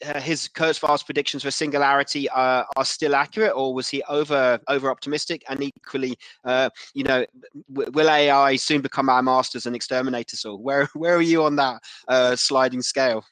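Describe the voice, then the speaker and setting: A man with a medium-pitched voice hosts a casual interview. He talks haltingly, doubling back on words as he works through a thought. The recording is very dry. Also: fairly even intonation, fairly bright tone, no room sound, poor audio. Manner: halting, often repeats words. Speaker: interviewer, podcast host.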